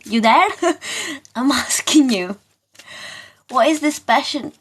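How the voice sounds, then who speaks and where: A woman hosting a live tarot session, talking informally and haltingly to viewers, often asking questions and repeating her phrases. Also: high-pitched, animated, breathy edge.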